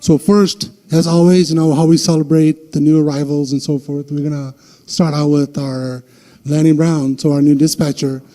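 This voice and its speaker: A man giving a formal ceremonial address and oath at a council meeting, speaking in deliberate phrases. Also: a little high, level tone, warm tone. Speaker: official administering an oath of office. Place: indoor city council meeting.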